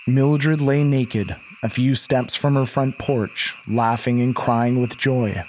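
A man with a medium-pitched voice narrates a horror audio drama. His delivery is measured, literary and intense, over faint background ambience. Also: steady tone, darkish tone, a little hiss or hum. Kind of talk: scripted dramatic horror storytelling.

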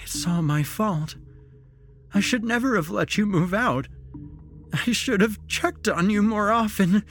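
A man's voice: a little high, big pitch swings, very slow, darkish tone, soft background music, pitched above the performer's own voice, exaggerated voice. A man voicing an anguished, guilt-ridden middle-aged mother in an audiobook, blubbering and tearful. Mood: tearful, guilty.

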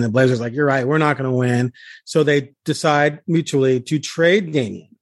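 A man hosting a casual sports podcast, laying out his arguments point by point in an animated way. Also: fairly high pitch, no echo, clean studio sound. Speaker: sports podcast host, beat reporter.

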